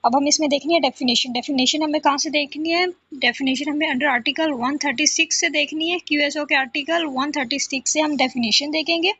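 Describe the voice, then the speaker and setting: A woman's voice: high-pitched, a bit bright, no echo. A woman with a Pakistani accent lecturing as a law teacher, reading out notes and explaining them repetitively, often asking and answering her own questions. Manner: switches between Urdu explanation and slowly read English. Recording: dull, lo-fi close recording.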